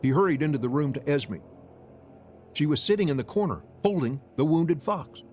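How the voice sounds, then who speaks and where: A man streaming a visual novel, narrating it aloud in an expressive storytelling style. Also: on the high side, normal speed, soft background music.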